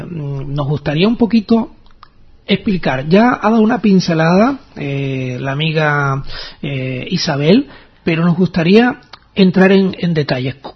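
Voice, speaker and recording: A radio host talks in a chatty way, often restarting and checking in with "no?". His voice is husky and sits a little high for a man. The audio sounds dull and lo-fi, like a radio broadcast. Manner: chatty, with many restarts and tag questions. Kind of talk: radio interview.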